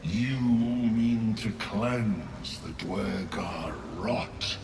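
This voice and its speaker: Very deep, slow mushroom sovereign. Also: male voice, over music. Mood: solemn. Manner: slow, cryptic, chant-like phrasing. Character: solemn exiled mushroom-folk male sovereign.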